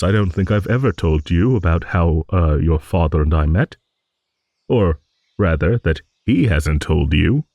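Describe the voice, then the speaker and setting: Guy doing a kindly ancient dragon for fantasy audio, rambly old gentleman type, really swoopy intonation, close dry sound. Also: low voice, dry recording, highs cut off, heavily acted. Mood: musing, nostalgic. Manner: rambling, hesitant, chuckling old-gentleman musing. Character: ancient genial rambling male dragon, refined and fond of reminiscing. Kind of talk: improvised fantasy audio roleplay monologue.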